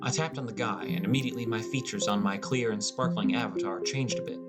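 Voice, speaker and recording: A man's voice: lowish voice, fast. A man reading a first-person fantasy story as a scripted audiobook narration, in the role of a teenage gamer. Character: teenage boy gamer, gloomy and introspective. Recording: music under the voice. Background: prominent music.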